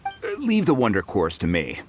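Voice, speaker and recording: A confident game blacksmith. His deep voice moves around a lot, casual and easygoing, with faint music underneath. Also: moderate pace. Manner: relaxed, casual. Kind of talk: video game voice acting.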